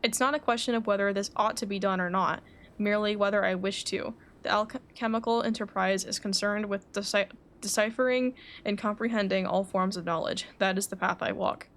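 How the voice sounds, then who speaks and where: A woman reading aloud the game lines of a composed, cerebral alchemist in a formal, measured manner. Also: mid-range voice, steady tone, a bit bright, natural voice. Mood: calm, reflective. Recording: faint background noise.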